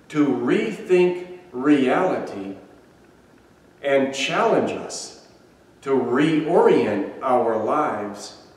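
A man in his sixties, a pastor giving a measured, emphatic sermon with deliberate pauses, filmed by a camera across a small church sanctuary. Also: on the high side. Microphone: far camera. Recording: echoey church from far off.

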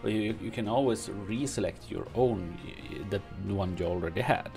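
A man who is not a native English speaker, reading game patch notes aloud and commenting on them from his home streaming room, halting with many fillers and restarts. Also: lowish voice, gravelly, darkish tone, faint music behind. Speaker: gaming streamer.

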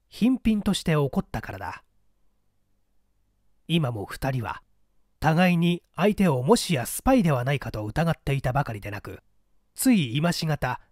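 A male audiobook narrator reads a story in a grave, deliberate and dramatic way. His voice sits slightly high and moves through a wide range of pitch. The recording is very dry. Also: darkish tone, dry recording. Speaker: audiobook reader, stage actor. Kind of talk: dramatic scripted literary narration.

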